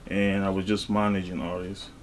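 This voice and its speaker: A man from Cameroon, talking casually as an interview guest in a halting way, with frequent asides. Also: low-pitched, little pitch movement, slight background noise. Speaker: interview guest, music artist and label executive.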